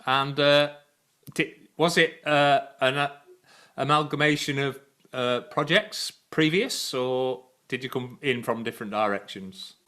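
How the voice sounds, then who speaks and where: A man's voice: mid-range voice, a little slow, sharp tone. A man hosting a live stream interview, enthusiastic and rambling, with lots of fillers. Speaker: show host.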